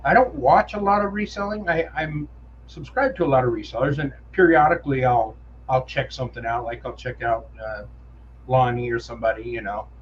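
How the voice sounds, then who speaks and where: A man's voice: medium pitch, brisk, muffled recording, a little hiss or hum. A man with a nasal voice, co-hosting a livestream in casual, easygoing chat. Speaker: co-host.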